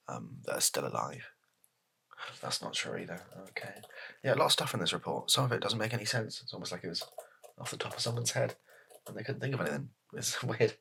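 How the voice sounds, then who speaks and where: A British man improvising a softly spoken comedy roleplay as a hesitant, deadpan, rambling political advisor to the prime minister. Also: sits low, vocal fry, breathy edge, full character voice. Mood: matter-of-fact, musing.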